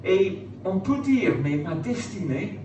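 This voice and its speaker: A man's voice: high-pitched, animated, takes it slowly, dark tone, reverberant, dull audio. Male preacher, informal motivational sermon, emphatic repetition, recorded from a distance with noticeable background noise. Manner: conversational, rhetorical questions, emphatic repetition.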